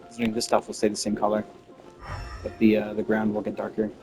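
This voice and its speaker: A man's voice: fairly low pitch, completely flat delivery, quick pace, over music. Man musing through a game playthrough. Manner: musing, thinking aloud. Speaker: game streamer. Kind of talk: casual playthrough commentary.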